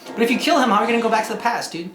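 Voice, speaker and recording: A woman hosting a reaction video, chatting casually, excited and joking. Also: fairly high pitch, rapid-fire. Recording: echoey room with game music playing.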